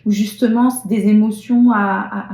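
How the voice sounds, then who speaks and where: Brief: French woman host, middle pitch, expressive, in a roomy echoing space. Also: unhurried. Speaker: interviewer. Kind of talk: casual interview chat.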